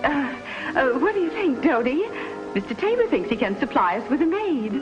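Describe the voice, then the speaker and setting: Fluttery society matron, lively high voice. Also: female voice, moderate pace. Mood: dismayed. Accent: American. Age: middle-aged. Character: fretful, proper society wife and mother. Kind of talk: 1950s television western acting. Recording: dull vintage TV soundtrack, faint music.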